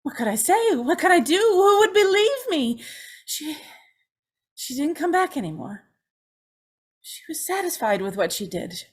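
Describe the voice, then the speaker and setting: A tormented young woman condemned to die, with a high voice that swings widely. She speaks slowly and haltingly, repeating words in anguish, in a radio-play style. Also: big pitch swings, heavily acted. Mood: anguished pleading. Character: tormented young condemned woman, haunted and fragile, confessing to Mother. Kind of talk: old-time radio drama acting.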